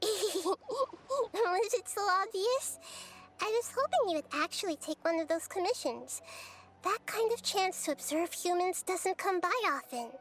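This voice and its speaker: An actress voicing a gentle, wise, childlike young goddess in a video game, speaking softly and thoughtfully. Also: female voice, very high voice, animated, unhurried, heavily acted. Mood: playful. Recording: music behind the voice.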